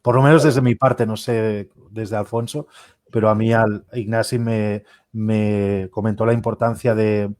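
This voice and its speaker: A man's voice: fairly low pitch, steady tone, darkish tone, no echo. Second guest: man from Spain, dry close sound, wry self-mocking, some stumbles. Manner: dry self-deprecating humor, halting. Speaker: guest, former player. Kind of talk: casual interview.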